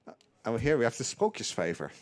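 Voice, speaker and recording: A man giving live speedrun commentary, relaxed and laughing often. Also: mid-range voice, fast, crowd noise.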